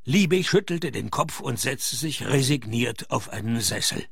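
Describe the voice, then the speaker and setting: An actor playing a sardonic private detective, dry and laconic, narrating his case in a gravelly voice in a radio play. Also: medium pitch, lively intonation, measured pace, dry recording, exaggerated voice. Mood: deadpan.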